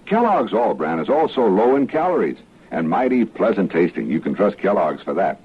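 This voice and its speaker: A man's voice: lowish voice, very animated, normal speed, breathy edge. An American man delivering a folksy, scripted mid-century radio advertisement on a tinny old recording. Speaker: commercial announcer.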